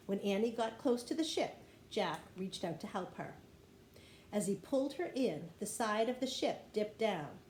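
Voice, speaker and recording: Woman reading a children's story, roomy echo. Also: medium pitch. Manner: animated storytelling with acted dialogue. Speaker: teacher reading aloud to kindergarteners. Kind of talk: expressive children's chapter-book read-aloud. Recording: roomy echo with light background noise.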